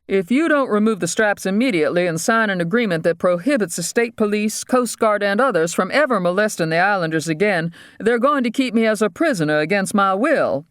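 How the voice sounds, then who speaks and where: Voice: mid-range voice, lively intonation, a little fast, hardly any pauses, smooth, no room sound, voice pushed up, close to an everyday voice. A narrator acts out a scheming dentist playing the desperate hostage in an audiobook. He talks in a raised, urgent voice, formal and overdramatic, recorded dry. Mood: insistent. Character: male mainland dentist, scheming, melodramatic hostage.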